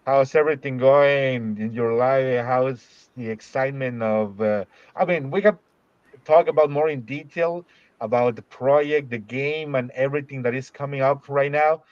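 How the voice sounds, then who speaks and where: Halting host, slightly dull dry sound. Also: male voice, medium pitch, dry recording. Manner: halting, repeats words, warm teasing. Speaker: podcast host. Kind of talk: casual podcast interview.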